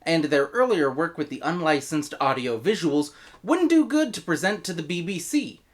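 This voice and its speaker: American man with lively, swinging pitch. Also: moderate pace. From United States. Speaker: reviewer, host. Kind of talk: scripted review narration.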